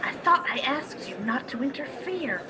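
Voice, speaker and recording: A woman acting in a live radio play as a brisk, firm but kindly wife who runs a cinema. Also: sits high, lively intonation, a crowd in the background. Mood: firm, exasperated. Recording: clearly noisy streamed audio, clicks.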